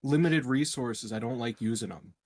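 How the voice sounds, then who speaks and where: A man's voice: mid-range voice, normal speed, a little mellow, dry recording. Wry man in a dry, close space. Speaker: tabletop game player. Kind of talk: casual chat.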